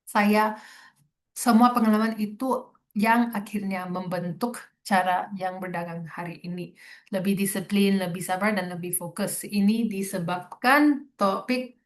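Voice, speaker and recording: A young woman with a Sabahan Malay accent hosts a trading webinar, her voice sitting at a middling pitch. She talks in a chatty way, slipping English words into her Malay as she explains things to her viewers. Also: fairly even intonation, unhurried. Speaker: webinar host and trader.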